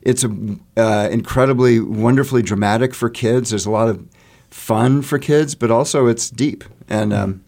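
A man's voice: medium pitch, moderate pace, vocal fry, a little mellow. A man explaining theater work in a conversational interview, thinking aloud and often rephrasing mid-sentence. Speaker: theater artist interviewed about a stage production.

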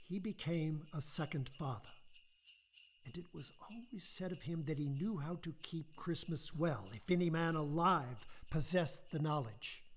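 A man narrating a stage radio play with expressive storytelling. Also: on the high side.

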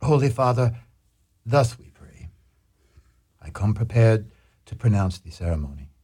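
An actor playing a joyful, solemn friar in a comic Shakespearean radio drama, speaking in a declamatory, ceremonious way. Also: male voice, on the low side, very animated, a little slow, dark tone, breathy edge, heavily acted. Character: joyful, solemn friar blessing young lovers.

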